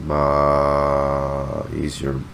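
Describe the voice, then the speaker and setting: Male gaming reactor, young, deep and slow, incredulous slang, casual and halting. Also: monotone, vocal fry, fairly bright tone, slight background noise. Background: game music.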